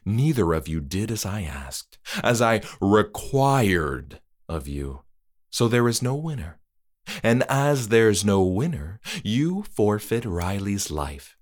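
A man voicing an eerily polite, otherworldly trick-or-treater who speaks softly and with slow formality. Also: fairly low pitch, exaggerated voice. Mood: coldly polite. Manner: calm, soft, measured and formal.

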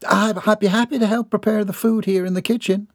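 A man's voice: sits high, steady tone, fairly quick, no room sound, studio quality, pitched above the performer's own voice. A man voicing a young housemaid who is groggy and tired but eager to please. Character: young sleepy woman housemaid.

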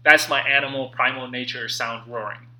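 A man narrating his own self-help book in an expressive, earnest and emphatic way, with dramatic phrasing and pauses. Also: mid-range voice, rough recording. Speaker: author reading own book.

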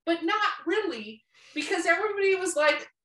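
A woman's voice: very high voice, lively intonation, moderate pace, pushed and bright, echoey room, recorded from a distance. A woman chatting casually on a podcast in a blunt, teasing, profanity-heavy manner. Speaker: podcast co-host.

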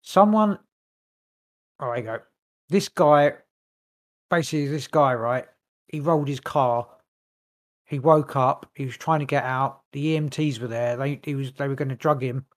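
A man's voice: medium pitch, normal speed, pauses often. A man co-hosting a podcast, rambling through a story in loose casual chat, swearing now and then. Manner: rambling, lots of fillers and restarts, swears. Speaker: podcast co-host.

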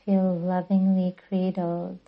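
Female meditation guide, breathy with a creaky edge, even and soothing, slightly muffled recording. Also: on the low side. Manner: soothing, gentle, deliberate. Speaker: yoga nidra guide and podcast host.

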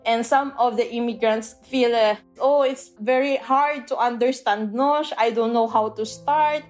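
A woman with a Filipino accent and a slightly high voice talks in a casual interview, explaining earnestly. She often stops on "uh" to find her words. Faint music plays under her speech. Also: fairly even intonation. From Philippines. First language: Tagalog. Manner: earnest, explanatory, frequent "uh" pauses. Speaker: language teacher, guest.

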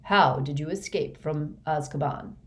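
Woman as calm, commanding old wizard. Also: low voice, slight background noise. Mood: quiet, probing. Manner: quiet, measured, authoritative. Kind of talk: audiobook character voice.

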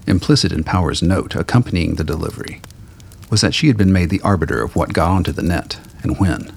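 A man reading scripted dramatic fiction as a narrator, with a gravelly, husky voice. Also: sits low.

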